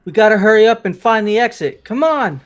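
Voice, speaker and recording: A man voicing an excitable, easily confused young guy in an amateur game dub, slangy and incredulous. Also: sits high, brisk. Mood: urgent. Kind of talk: amateur voice acting of a video game.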